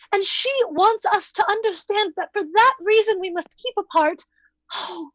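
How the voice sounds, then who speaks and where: Very high, earnest, distressed young woman , acted by a woman. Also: no room sound, muffled recording, exaggerated voice. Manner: earnest, melodramatic pleading. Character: dainty, distressed young woman, dutiful and self-denying. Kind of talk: old-time radio drama acting.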